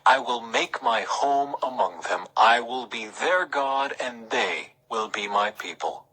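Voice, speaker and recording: A man's voice: medium pitch, expressive, slow pace, pushed and bright, low-quality recording. A man reads scripture aloud in a solemn, emphatic, dramatic scripted style. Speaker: scripture reader.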